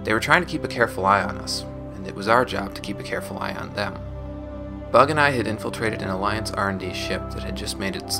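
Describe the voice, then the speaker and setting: A low male voice acted by a man plays a young ex-gang operative recording a personal log. The delivery is measured and reflective, like a game audio diary. Music plays clearly underneath. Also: lightly acted. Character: young former gang operative recounting painful memories. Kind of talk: scripted audio log narration.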